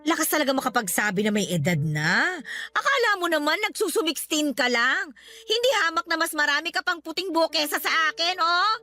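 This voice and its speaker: A woman's voice: high-pitched, animated, fairly quick, pushed and bright, soft background music. A woman playing a resentful older mother in a Tagalog radio drama, talking in a sarcastic, needling way. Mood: confrontational, bitter.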